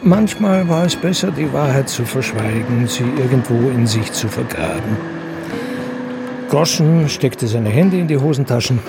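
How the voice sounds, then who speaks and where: The reflective male narrator of a radio crime story. His voice sits at a middle pitch and reads literary prose. Music plays clearly underneath. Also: animated, a little slow.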